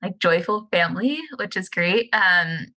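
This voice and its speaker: Brief: woman podcast host, gentle and curious, bright clear voice. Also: fairly low pitch, a little slow. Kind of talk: casual interview chat.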